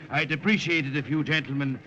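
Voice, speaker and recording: An actor playing a genial, tipsy but shrewd army officer in a 1950s radio drama. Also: male voice, on the high side, fairly even intonation, quick pace, pushed and bright. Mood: jovial, tipsy. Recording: noisy, muffled old radio broadcast.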